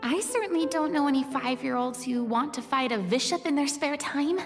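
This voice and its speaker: A high, theatrical young woman from a video game. She muses expressively and inquisitively, with faint music behind her. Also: moderate pace. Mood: puzzled, indignant. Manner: expressive, musing. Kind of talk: scripted video game dialogue.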